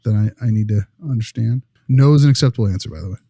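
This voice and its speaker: A man's voice: fairly low pitch, quick pace, dark tone, no room sound, studio quality. A man playing a friendly, attentive consultant who asks questions in a polite, affirming way in naturalistic dialogue. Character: friendly, attentive male consultant interviewing school staff. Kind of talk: naturalistic acted interview dialogue.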